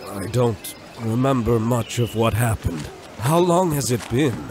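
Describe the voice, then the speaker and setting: An actor playing a proud, domineering military commander in a fantasy audiobook, curt and imperious. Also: male voice, mid-range voice, lively intonation, unhurried, background noise, close to an everyday voice. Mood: confused. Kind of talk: dramatised fantasy audiobook acting.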